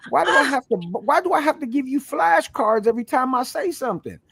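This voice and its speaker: A middle-aged American man chatting casually as an interview guest, talking in an animated, long-winded way. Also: very high-pitched, brisk, gravelly, slightly rough, no room sound, clean studio sound. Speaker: stage play cast member, guest.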